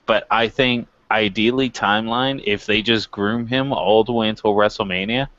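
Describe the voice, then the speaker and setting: A man with a slightly low voice chats casually as co-host of a wrestling show. He has a wry, joking way of talking. The recording is dry and a little lo-fi. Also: steady tone, normal speed, fairly bright tone, no echo. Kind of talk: casual chat.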